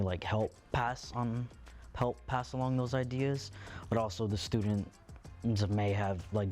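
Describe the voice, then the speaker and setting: A young adult man giving casual interview answers, halting and repeating himself as he goes. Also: on the low side, mellow. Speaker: older mentor to students.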